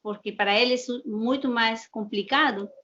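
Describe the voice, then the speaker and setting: A woman from Peru, a native Spanish speaker with a heavy Peruvian accent, chatting as an interview guest in an unhurried way, restarting and repeating words. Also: fairly high pitch, steady tone, a bit bright, a little hiss or hum. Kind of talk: casual interview chat. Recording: dull lo-fi sound.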